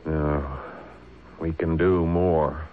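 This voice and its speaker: An actor playing a stern, principled but compassionate defense lawyer, formal and persuasive, in a radio drama. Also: male voice, very deep voice, fairly even intonation, very slow, over music, full character voice. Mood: solemn, encouraging. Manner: forceful, probing, formal, persuasive. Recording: tinny old radio broadcast.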